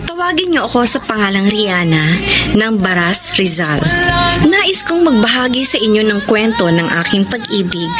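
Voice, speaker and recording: A woman's voice: mid-range voice, sing-song, normal speed, close to an everyday voice. A woman playing a tender, lovelorn young woman in a radio drama, earnest and emotional. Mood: wistful, sincere. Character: tender, lovelorn young woman telling her love story. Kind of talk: Tagalog radio drama acting, letter narration. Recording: radio drama with loud music bed.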